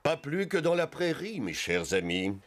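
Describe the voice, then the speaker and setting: A man's voice: a little high, very animated, moderate pace, heavy background noise. A man voicing a confident, reassuring tracker animal in a children's cartoon. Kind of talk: French dubbed children's cartoon acting.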